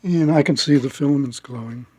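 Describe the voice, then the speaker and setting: A man giving a casual step-by-step demonstration as a hobbyist, speaking haltingly with pauses as he works. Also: medium pitch, mellow, breathy edge. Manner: halting, pausing mid-sentence as he works. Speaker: hobbyist restoring a vintage radio.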